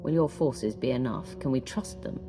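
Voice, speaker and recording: A woman playing a commanding, wary Sith warrior in a game, authoritative and measured. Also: a bass voice, music in the background. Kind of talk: scripted game cutscene dialogue.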